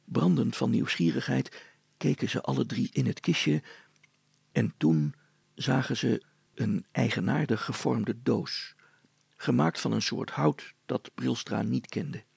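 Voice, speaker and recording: A man's voice: mid-range voice, measured pace, vocal fry, darkish tone, breathy edge, dry recording. A man reading a scripted story aloud as its storyteller.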